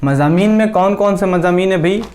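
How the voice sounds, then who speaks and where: A male teacher giving a classroom lecture at a board, dictating names slowly word by word and answering his own questions. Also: sits high, a little mellow, slight background noise. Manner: asks the class questions and answers them himself.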